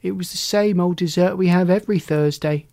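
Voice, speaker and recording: A woman's voice: lowish voice, brisk, warm tone, no echo, natural voice. A woman voicing a friendly young boy kitten in a children's storybook, cheerful and reassuring.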